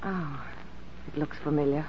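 An actress playing a playful, wistful young pianist patient in a 1940s radio drama. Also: female voice, low-pitched, unhurried. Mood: soft, warm. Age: young adult. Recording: muffled, hissy 1940s radio broadcast.

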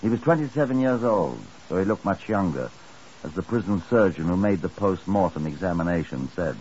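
A grave Scotland Yard chief inspector in a 1950s radio drama. His voice is deep and his measured delivery swings widely in pitch. The recording is muffled. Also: expressive, background noise. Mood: grave, narrating.

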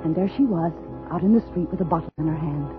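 A woman's voice: sits low, natural voice. Actress as brooding, unstable woman on tinny old radio. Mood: brooding, dreamy. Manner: intense, halting, brooding, quick to flare. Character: unstable, haughty woman writer bent on revenge. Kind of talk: 1950s radio thriller acting. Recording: tinny old radio broadcast, music behind.

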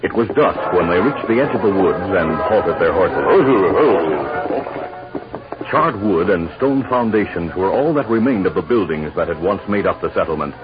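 A man with a very low voice narrates a 1950s radio western. He is measured and formal, on a dull vintage recording with music underneath. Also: expressive.

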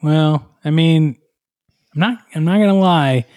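A male podcast host with a slightly raised pitch chats with a guest and plays along with the joke in a wry, easygoing way. His voice is picked up close on a dry mic, with no room sound around it. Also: unhurried, darkish tone, clean tone, no echo. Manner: playful, wry, goes along with the bit. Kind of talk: casual comedic interview.

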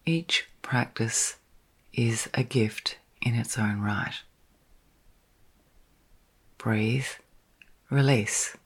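A woman leading a guided sleep meditation in a soft, breathy, partly whispered voice, gently soothing, with long pauses between short phrases. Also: a bass voice, fairly even intonation.

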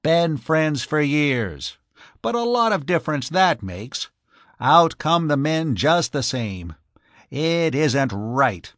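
A man voicing an aggrieved, energetic music publisher who complains emphatically in an audiobook. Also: a little high, dry recording, clean studio sound, voice pushed up, full character voice. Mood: aggrieved insistence. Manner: emphatic, complaining, drawn-out stresses. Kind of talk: audiobook character voice.

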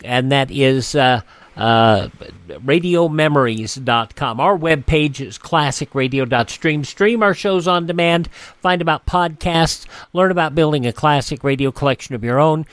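A man's voice: on the high side, a little slow, fairly bright tone, smooth, no echo. An American man hosting a classic radio program, delivering a scripted sign-off. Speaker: classic radio show host. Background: music.

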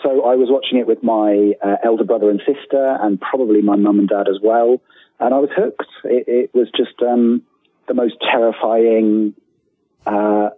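A British man in his forties talks casually as an interview guest, with a husky edge to his voice. He rambles a little, and the call sounds narrow and phone-like, very dry. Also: on the low side, no echo. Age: 40s. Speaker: guest author. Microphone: remote call.

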